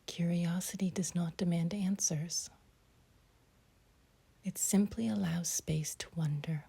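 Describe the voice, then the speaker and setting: Breathy, near-whispering woman guiding meditation slowly. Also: fairly low pitch, fairly even intonation. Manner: gentle, unhurried, with long pauses between short phrases. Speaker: meditation guide. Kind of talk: guided meditation, scripted and softly delivered.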